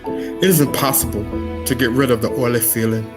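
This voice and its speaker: A man putting on a solemn, grave narrator for a mock-dramatic comedy reading, speaking in a slow, deliberate way. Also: medium pitch, fairly even intonation, loud music behind the voice, close to an everyday voice. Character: solemn dramatic narrator parodying a famous actor, recounting a mishap.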